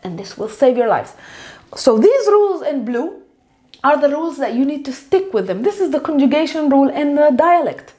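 A woman in her thirties, a native Arabic speaker, teaching a lively whiteboard lesson. She repeats words slowly and stresses them. She speaks into a lapel mic in a bright indoor room. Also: high voice.

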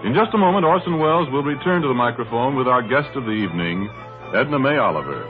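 A man's voice: mid-range voice, expressive, quick pace, faint music behind. A man announcing and advertising on 1930s radio in a formal, polished, persuasive scripted style. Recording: thin, phone-like 1930s radio recording.

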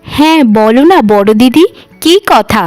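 A sweet-tempered youngest sister in a cartoon tale. She speaks earnestly and soothingly in a high, lilting voice, with music behind her. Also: expressive, measured pace, darkish tone, dry recording. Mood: gentle, innocent. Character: gentle, dutiful youngest daughter of a landlord. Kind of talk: cartoon story acting.

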